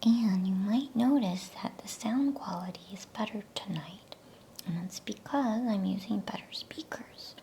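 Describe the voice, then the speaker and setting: A woman speaking in a breathy, soothing, unhurried whisper, as in an ASMR bedtime reading. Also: medium pitch, warm tone, slight background noise. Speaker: ASMR bedtime story reader. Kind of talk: whispered ASMR reading aloud of a children's novel.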